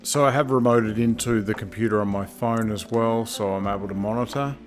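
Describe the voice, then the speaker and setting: A man's voice: on the low side, fairly quick, soft background music. A man giving a casual hands-on product review in a plain-spoken, matter-of-fact way.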